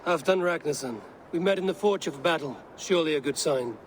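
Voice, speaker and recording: An actor playing a confident, measured Viking warrior in a dramatic video game scene. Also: male voice, a little high, slight background noise, natural voice. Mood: assured. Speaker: game character in cutscenes.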